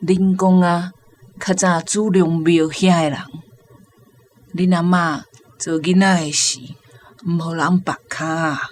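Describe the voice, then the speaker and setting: A woman playing a 72-year-old Taiwanese mother recalling the past haltingly in a radio drama. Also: lowish voice, little pitch movement, darkish tone. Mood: reminiscing.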